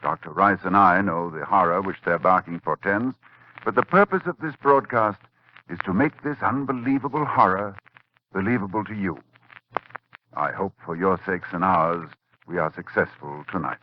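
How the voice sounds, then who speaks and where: An actor playing a grave, urgent professor who narrates a horror tale in formal, solemn tones in a 1940s radio drama. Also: male voice, low-pitched, moderate pace. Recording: muffled vintage 1940s radio recording.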